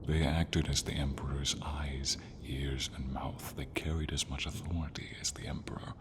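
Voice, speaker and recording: A man voicing a friendly, devoted silver dragon in an ASMR fantasy roleplay, deep and breathy, speaking tenderly with dramatic pauses. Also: little pitch movement, background noise. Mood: awed, grave.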